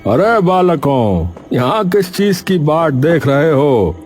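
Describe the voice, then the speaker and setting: A man plays a nosy biker in a cartoon story, drawling slowly with animated pitch. His manner is rustic and inquisitive, with faint music behind. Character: nosy passing male biker, an adult stranger.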